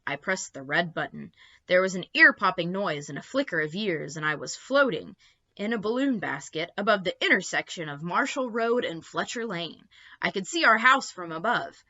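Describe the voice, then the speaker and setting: Lady reading a kids book out loud, super expressive, bright voice, kinda muffled audio. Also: lowish voice, normal speed. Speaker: children's storytime reader. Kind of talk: expressive storybook narration.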